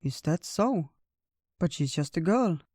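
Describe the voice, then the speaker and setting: A storyteller voicing a wise, gentle old male bear in a theatrical, drawn-out way for a children's tale. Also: medium pitch, animated, no room sound, studio quality. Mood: curious. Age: older.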